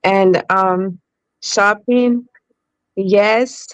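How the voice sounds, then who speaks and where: A woman's voice: mid-range voice, fairly even intonation, very slow, clear, resonant tone, dry recording, low-quality recording. A woman co-hosting a podcast, talking in a chatty way with fillers like "um" and "like". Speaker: podcast co-host. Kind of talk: casual podcast chat.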